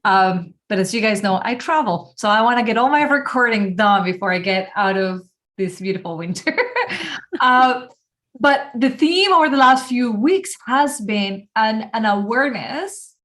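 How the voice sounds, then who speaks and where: A woman hosting a podcast interview, chatty and lively, laughing often as she talks. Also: a little high, normal speed. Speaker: podcast host and coach. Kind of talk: casual interview chat.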